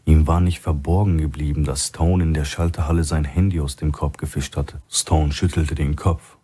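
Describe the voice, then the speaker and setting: A male audiobook narrator reads a crime thriller. His voice sits very low and has a husky, rough-edged grain. The delivery is scripted storytelling. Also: steady tone, moderate pace, dark tone.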